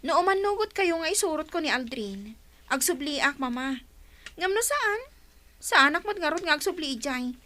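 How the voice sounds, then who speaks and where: A woman's voice: high voice, sing-song, normal speed, bright, forceful tone, full character voice. A woman playing an emotional, pleading young daughter who stays loyal to her partner, in an audio drama. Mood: pleading, defensive. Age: young adult. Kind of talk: Ilocano radio-style audio drama acting.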